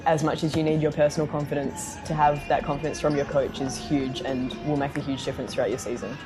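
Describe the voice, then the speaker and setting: A woman's voice: sits low, steady tone, fast talker. A young woman with an Australian accent, a basketball player talking casually about herself in an interview. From born in London, raised in Singapore and Australia. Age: young adult. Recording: music behind the voice. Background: music.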